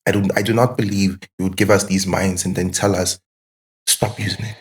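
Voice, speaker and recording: Low-pitched man talking casually and emphatically. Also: flat delivery, fairly quick. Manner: emphatic, questioning, repeats phrases for emphasis. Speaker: podcast guest. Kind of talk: casual reflective conversation.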